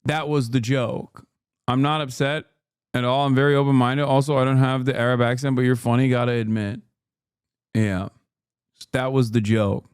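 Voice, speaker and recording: A man streaming live and reacting to a debate clip, joking and laughing often, dropping to low mutters and jumping to loud high outbursts. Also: little pitch movement, moderate pace, clear, resonant tone, dry recording, studio quality. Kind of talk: casual reaction commentary.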